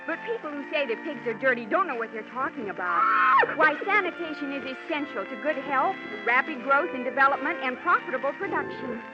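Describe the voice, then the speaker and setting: A young female livestock breeder, high-pitched and proud. She speaks with lecturing earnestness in 1940s radio style, on a muffled old recording. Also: expressive, brisk. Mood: earnest lecturing. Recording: muffled vintage radio broadcast with music.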